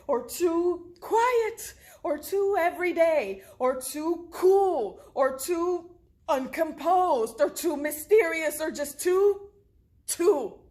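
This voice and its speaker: A woman plays an anguished mother fearing for her child. Her voice sits fairly high, and she pleads with emphasis and deliberate pauses, in the manner of a dramatic stage monologue. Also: a little mellow.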